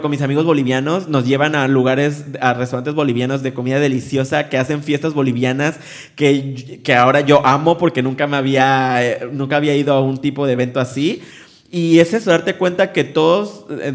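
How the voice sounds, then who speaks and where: A young man from Veracruz with a Mexican Spanish accent, chatting as an interview guest, friendly and quick to laugh. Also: medium pitch, steady tone. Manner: friendly, laughs often, many fillers. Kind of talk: casual interview conversation.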